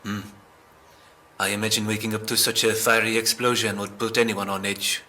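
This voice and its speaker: A man playing a calm, courteous police officer in an amateur audio drama, asking questions in a measured, polite way. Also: lowish voice. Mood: sympathetic.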